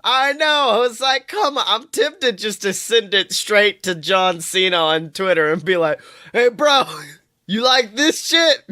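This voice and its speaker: A man co-hosting a podcast in casual comedic chat, swearing freely with animated exclamations. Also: sits high, unhurried. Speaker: podcast co-host.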